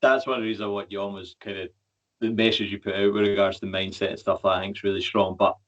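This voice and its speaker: A man's voice: lowish voice, little pitch movement, fast, fairly bright tone. A man hosting a podcast, talking in a laid-back, casual way. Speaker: podcast host. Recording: dull lo-fi sound.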